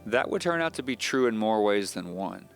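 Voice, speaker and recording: Deep, gravelly secretive suspense novelist. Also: male voice, lively intonation, soft background music. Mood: confiding, ominous. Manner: deliberate, confiding, storyteller's delivery. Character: secretive, bitter divorced male suspense novelist confessing on tape. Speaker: narrator playing a fictional novelist. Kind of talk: scripted first-person audio drama monologue.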